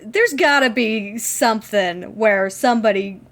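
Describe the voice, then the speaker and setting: A woman's voice: on the high side, a little slow, fairly bright tone. A woman co-hosting a podcast, chatting casually and enthusiastically as a giggly fan recapping a TV episode. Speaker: podcast co-host.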